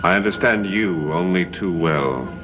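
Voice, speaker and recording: An actor playing a tormented, brooding widower in a gothic soap opera, with melodramatic, impassioned and formal delivery. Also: male voice, deep voice, unhurried, over music. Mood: angry, anguished.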